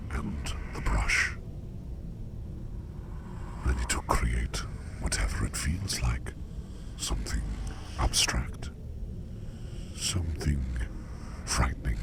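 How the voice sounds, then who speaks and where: A man playing a curious, gentle sleep demon in a whispered ASMR roleplay, speaking formally and in measured phrases with a gravelly, breathy voice. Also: rumbling low, exaggerated voice. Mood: musing. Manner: slow, measured, formal old-fashioned diction.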